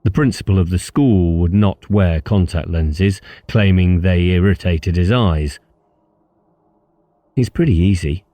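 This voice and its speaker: A male audiobook narrator with a very low, mellow voice. He reads literary prose as scripted narration, captured in a very dry recording. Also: measured pace, few pauses, dry recording.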